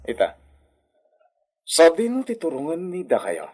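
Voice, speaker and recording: Radio drama young male master: haughty, commanding, Filipino accent, Spanish affectations, very animated pitch. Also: measured pace, husky, exaggerated voice. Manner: pompous, commanding, peppered with Spanish words.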